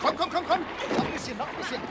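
An actor playing a brisk male sailor in a German radio play, calling out urgent boat instructions. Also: very high-pitched, over a noisy crowd. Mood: urgent, encouraging. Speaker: actor in a radio crime drama. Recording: loud water and boat noise. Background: water and boat sound effects.

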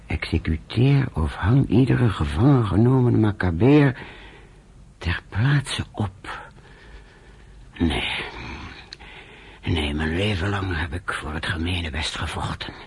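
An embittered senior official in a radio play. His low voice is very rough and husky, and he speaks slowly, with brooding, principled indignation. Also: lively intonation, heavily acted. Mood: indignant, brooding. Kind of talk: radio drama acting.

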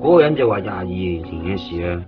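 Loud blunt young dude in a radio play, mid voice, dull old sound, music going. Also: male voice, low voice, expressive, quick pace. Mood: explaining. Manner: loud and blunt, calls out to passersby. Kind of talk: 1990s radio drama acting.

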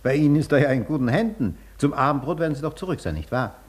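An actor in a German radio play as a gallant married gentleman, courteous, fussing and concerned. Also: on the high side, animated, quick pace, natural voice.

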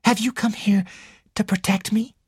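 A woman's voice: medium pitch, steady tone, moderate pace, darkish tone, slightly rough, no echo, clean studio sound, voice pushed up. A woman narrator voicing an intense, fearful and proud young woman in an audiobook. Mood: anxious, intent. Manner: intense, indignant, secretive. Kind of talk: audiobook character dialogue.

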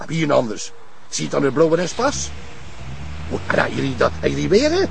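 A man's voice: a little high, wildly swooping pitch, normal speed, vocal fry, a little hiss or hum, exaggerated voice. A man acting as an agitated, indignant and prejudiced Flemish local, blunt and ranting, in a television drama. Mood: excited. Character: agitated, xenophobic male local gossip, indignant.

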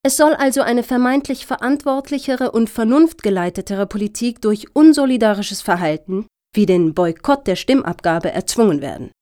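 An actress playing an earnest, officious lobbyist in a satirical radio play, talking in formal, deliberate, speech-like sentences. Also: female voice, fairly high pitch, lively intonation, mellow, natural voice. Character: earnest, officious female lobbyist pitching for funding.

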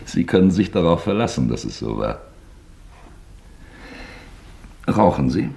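Sly, creaky-voiced, deep investigator. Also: male voice, sing-song, a little fast, dark tone, full character voice. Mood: sly calm. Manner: mock-forgetful, hesitant, probing politeness. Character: sly, falsely genial male investigator toying with suspect. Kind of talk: German radio play acting.

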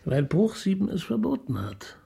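An actor playing a reserved, stiffly polite police inspector in a German radio crime comedy, speaking in hesitant officialese. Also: male voice, high voice, big pitch swings, normal speed, vocal fry, mellow, husky, dry recording, clean studio sound, exaggerated voice. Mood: dry, formal. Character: formal, reserved middle-aged male police inspector. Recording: noisy, city traffic and car sounds.

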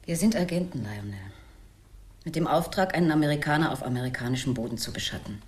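A woman's voice: low voice, lively intonation, fast talker. An actress playing a sober, regretful government agent, a woman, in a German radio play.

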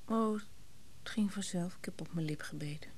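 Woman acting a breathy, distraught widow, low and dark. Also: slight background noise, lightly acted. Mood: dazed, subdued. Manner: halting, repeating words in agitation. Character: distraught, bitter young widow, apathetic with grief. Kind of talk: naturalistic Dutch radio play acting.

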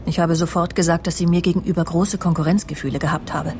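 A woman's voice: fairly low pitch, steady tone, quick pace, background noise, lightly acted. An actress playing a vain, self-assured woman journalist in a radio crime drama, confiding and imperious as she justifies herself. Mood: indignant, self-justifying.

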